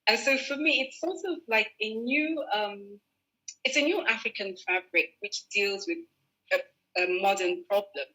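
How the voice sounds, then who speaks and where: A woman designer talks through her work on a video call, pausing to find words and restarting her sentences. Her voice is bright and clear, at a middle pitch. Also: animated, low-quality recording. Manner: thoughtful, explains with restarts and fillers. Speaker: fashion designer guest. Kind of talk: relaxed conversational interview.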